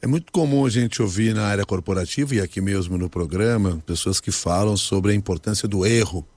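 A man hosting an interview, asking questions in a measured, formal way. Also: on the low side, darkish tone. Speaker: interview host.

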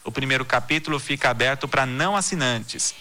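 A man from Brazil reads the news as a podcast host, with a very bright, forward tone and a steady, measured cadence. Soft music sits low underneath him. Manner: steady, measured newsreader delivery. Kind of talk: scripted news reading.

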